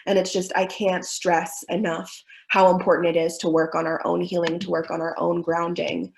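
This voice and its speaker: Young woman, low voice, creaky, earnest webinar presenter, thoughtful, many fillers. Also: level tone, moderate pace. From Miami, United States. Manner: warm, thoughtful, with frequent fillers.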